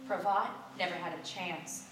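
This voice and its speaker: A woman's voice: fairly low pitch, fairly even intonation, normal speed. A woman reading literary prose aloud in a live staged performance. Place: theater stage, recorded live. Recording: echoey, distant live theater recording, noisy.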